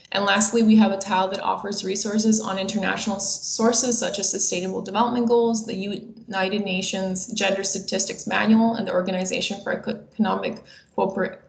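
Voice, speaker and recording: A woman in her twenties delivers a prepared webinar presentation. Her mid-pitched voice has a noticeable creak, and she explains each point in a measured way. The sound is roomy, with audible echo around her words. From Bosnia and Herzegovina. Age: 20s.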